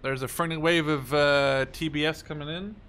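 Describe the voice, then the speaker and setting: A young man giving casual, profanity-laden gameplay commentary, excitable and often talking to himself as he plays. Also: fairly high pitch, a little fast, clean tone. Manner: excitable, swears freely, talks to himself. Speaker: gaming YouTuber. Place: small room at home.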